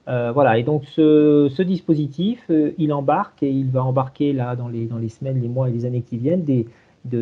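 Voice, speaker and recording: A man giving an informal technical demonstration over a video call, in a halting way with repeated words. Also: medium pitch. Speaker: presenter of a software tool. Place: remote video conference. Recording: dull, lo-fi online call.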